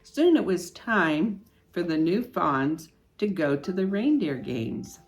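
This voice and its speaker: An older woman reading a children's storybook aloud in an expressive, unhurried way. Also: fairly low pitch. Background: music.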